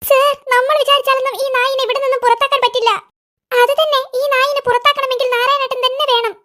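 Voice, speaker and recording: A squeaky young male helper from a cartoon school, put on by a woman. He talks in a shrill, eager rush, pushing to be heard. The voice sits very high and a little distant from the mic. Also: flat delivery, fast talker, sharp tone. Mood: insistent.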